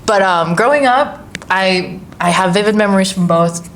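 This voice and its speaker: A woman in her twenties co-hosting a casual podcast chat, bubbly and quick to laugh. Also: medium pitch, a little hiss or hum. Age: 20s. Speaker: co-host.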